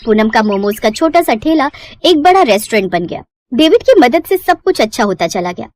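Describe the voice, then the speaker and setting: High-pitched woman narrating a moral tale. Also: very animated, fairly quick, darkish tone. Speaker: story narrator. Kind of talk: scripted moral-story narration.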